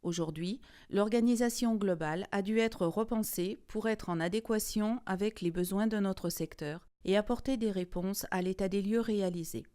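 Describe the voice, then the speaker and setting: Female narrator for institutional documentary. Mellow timbre, mid-range pitch, formal read-aloud style. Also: moderate pace, few pauses.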